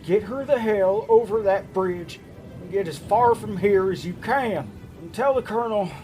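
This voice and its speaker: A man's voice: high-pitched, moderate pace, dark tone, faint music behind, lightly acted, low-quality recording. A man playing a desperate, self-sacrificing army sergeant in an audio drama, urgent and strained. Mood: urgent, resigned.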